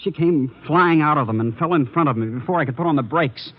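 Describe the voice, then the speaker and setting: A man's voice: on the high side, brisk, close to an everyday voice. An actor playing an earnest, guarded, loyal young man under arrest, in 1940s radio drama style. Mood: troubled, guarded. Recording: dull lo-fi old radio recording.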